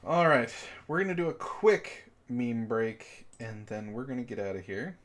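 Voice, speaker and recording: A man's voice: mid-range voice, normal speed, fairly bright tone. A man reading a story aloud and bantering casually, with an exasperated, profane, mocking manner. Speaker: book club host and reader.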